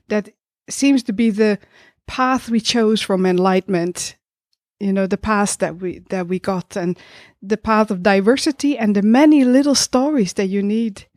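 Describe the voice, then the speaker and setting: A woman speaking reflectively in a casual podcast discussion, tentative and pausing mid-phrase as she thinks. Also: medium pitch, a little mellow, no room sound, clean studio sound.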